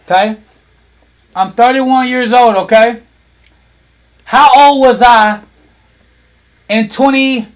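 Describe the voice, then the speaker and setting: A man in his thirties hosting a lively talk, teasing and quizzing his online audience. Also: very high voice. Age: 30s. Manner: animated, teasing, asks the audience questions. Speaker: host.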